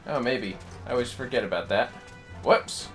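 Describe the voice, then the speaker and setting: A man's voice: medium pitch, moderate pace, fairly bright tone. A man livestreaming a video game, rambling casually and half talking to himself and his viewers. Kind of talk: casual gaming livestream chat, muttering to self and viewers. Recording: game music behind the voice. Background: video game music.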